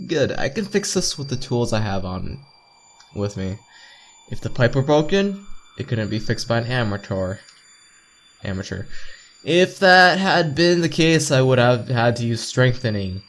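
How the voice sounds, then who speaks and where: A man's voice: mid-range voice, big pitch swings, measured pace, fairly bright tone, rough recording. A man reading visual novel text aloud as a let's player, stumbling over lines and repeating words, with joking asides. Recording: game music behind voice.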